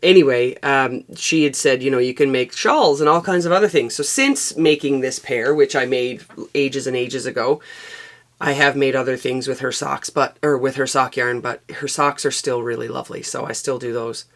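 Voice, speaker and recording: A woman, hosting a knitting vlog in relaxed, rambling chat. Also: extremely low pitch, moderate pace. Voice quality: raspy. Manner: relaxed and rambling, with frequent fillers. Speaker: knitting podcast host. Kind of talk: casual chatty knitting vlog. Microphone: external microphone.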